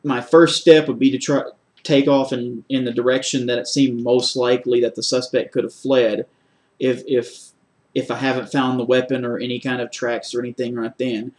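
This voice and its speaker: Man, medium pitch, job candidate, formal interview answers, measured, methodical, step-by-step explanations. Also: level tone. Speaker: job candidate for a security officer post.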